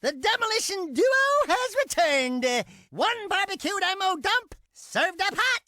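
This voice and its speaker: A man's voice: extremely high pitch, animated, a little slow, dry recording, exaggerated voice. A man voicing a cocky, flirtatious, wisecracking little furry rodent sidekick in a video game. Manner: flirtatious, boastful. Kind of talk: animated video game cutscene acting. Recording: loud game music behind.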